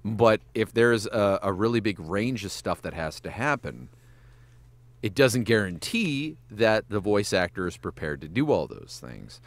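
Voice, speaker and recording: A man talking through casual podcast advice with a lively, swooping pitch, swearing freely as he goes. He works in voice acting and animation and keeps breaking into quick voice demos. The sound is dry and close, with almost no room around him. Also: no echo. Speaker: guest voice actor and animator.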